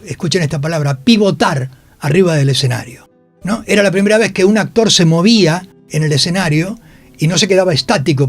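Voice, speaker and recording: A man, a theatre actor and director, talks in a radio interview, telling anecdotes emphatically and repeating phrases. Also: a little high. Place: radio studio.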